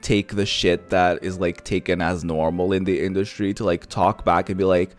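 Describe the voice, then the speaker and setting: A man's voice: sits low, level tone, normal speed, soft background music. A man giving casual, sassy, digressive game commentary in slangy speech. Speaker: gaming streamer.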